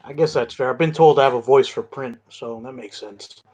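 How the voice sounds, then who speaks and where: A man's voice: mid-range voice, brisk, darkish tone. A man co-hosting a casual sports podcast, wry and joking. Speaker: podcast co-host. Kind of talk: casual chat.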